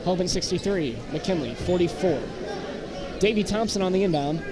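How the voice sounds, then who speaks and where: A man doing live play-by-play commentary of a high school basketball game in short, clipped calls. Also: lively intonation, moderate pace, over loud music. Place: indoor high school gymnasium. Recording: loud gym crowd and court noise. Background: crowd, bouncing basketballs.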